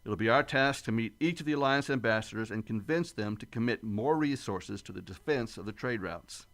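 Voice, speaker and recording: A man playing a steady starship captain in a sci-fi radio play, speaking with formal military authority. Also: on the low side, fairly quick. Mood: grave.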